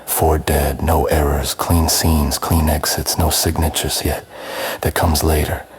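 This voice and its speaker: A man narrates dark noir fiction in an extremely deep voice that is heavily husky and raspy. He keeps a flat, measured tone, delivering short, ominous sentences. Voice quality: very husky, gravelly rasp. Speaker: narrator of a neo-noir audio drama.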